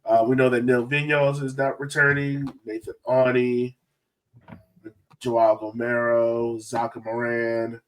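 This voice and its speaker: A man's voice: medium pitch, level tone, a little slow, low-quality recording. A man hosting a sports podcast in a casual, chatty style. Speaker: podcast host.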